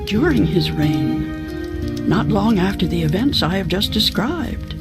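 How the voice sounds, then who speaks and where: An old, wise woman tells her tale slowly and gently, lingering over her words. Clear music plays beneath her voice. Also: mid-range voice, big pitch swings. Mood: gentle. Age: older. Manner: gentle, slow storytelling. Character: elderly wise woman storyteller, frail legs. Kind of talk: video game narration.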